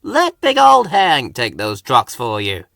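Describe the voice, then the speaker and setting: A man's voice: mid-range voice, huge pitch swings, takes it slowly, creaky, a bit bright, no room sound, clean studio sound, exaggerated voice. A man voicing a big, friendly, helpful giant steam engine with a hearty, folksy manner in a children's storybook. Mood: cheerful, friendly.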